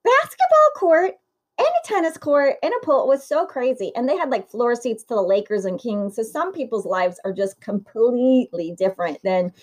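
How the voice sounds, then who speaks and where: A woman hosting an interview, chatting casually with quick asides and easy laughter. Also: fairly high pitch, animated. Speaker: interview host.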